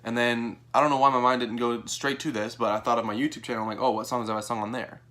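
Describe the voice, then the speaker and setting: A young American man telling a personal story to camera in a casual, animated way, acting out what people said. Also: fast talker. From Texas, United States. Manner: animated, acts out quoted dialogue in a higher voice. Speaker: vlogger.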